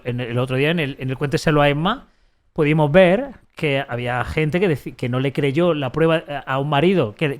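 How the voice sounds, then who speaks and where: A man with a Spanish accent from Spain reading a text aloud on a live stream, breaking off for sarcastic, mocking asides and restarting his sentences often. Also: on the high side. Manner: halting, frequent restarts while reading.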